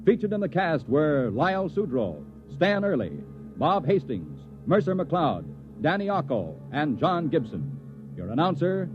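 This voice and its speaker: American male announcer, 1950s radio delivery, scripted, fairly high voice, slightly lo-fi sound. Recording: dull 1950s radio recording. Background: faint music.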